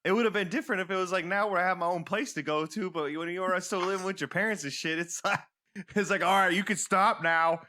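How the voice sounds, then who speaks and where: Bright, slightly high-voiced man telling stories. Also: quick pace. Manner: slangy, animated storytelling with profanity. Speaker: podcast co-host. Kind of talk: casual anecdotal chat.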